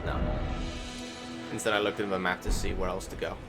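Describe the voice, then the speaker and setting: A man's voice: low-pitched, level tone, a little fast. A man giving casual voice-over commentary on video gameplay, rambling and questioning himself with some mild swearing. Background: music.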